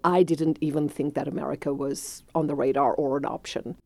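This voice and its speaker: A woman's voice: sits low, fairly even intonation, a little fast, vocal fry, a little mellow, dry recording. A woman in her forties from South Africa, a native Afrikaans speaker with a South African accent, telling her life story in a reflective interview and laughing easily.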